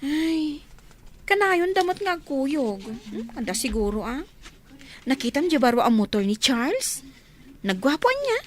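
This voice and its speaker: Woman playing high, lively teenage village schoolgirl. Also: a little slow. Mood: chatty, curious. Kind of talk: comedy radio drama acting.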